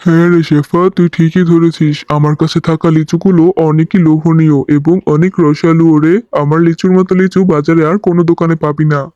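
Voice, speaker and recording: A man acts out a grumpy tiger shopkeeper in a cartoon, boastful and dismissive. The voice is fast and fairly high for a male, with a dull, lo-fi sound. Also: flat delivery, mellow, no room sound, natural voice. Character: gruff, impatient male tiger fruit seller. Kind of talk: children's cartoon dubbing.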